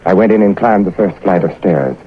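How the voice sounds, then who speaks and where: A man acting a wary, sharp-witted British intelligence agent in a theatrical 1940s radio drama style. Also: sits low, expressive, brisk, slight background noise. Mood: grave, suspenseful. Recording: muffled old radio recording with music.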